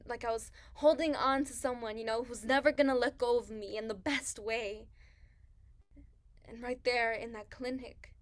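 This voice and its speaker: An actress in a staged reading playing a grieving young pregnant woman who talks in halting, hushed phrases. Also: a little high, close to an everyday voice. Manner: halting, hushed, searching for words.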